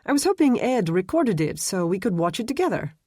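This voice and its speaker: A woman voicing a cheerful young woman with a lilting French accent, talking quickly. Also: medium pitch, big pitch swings, dark tone, breathy edge, no echo, clean studio sound, pitched above the performer's own voice. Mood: bright, friendly.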